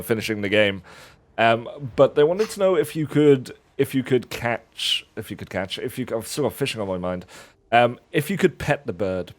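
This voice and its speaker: A man hosts a video game livestream while he plays, chatting in a playful, easygoing way. His voice sits at an ordinary middle pitch. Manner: playful, laughs often. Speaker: stream host. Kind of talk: casual livestream chat while playing.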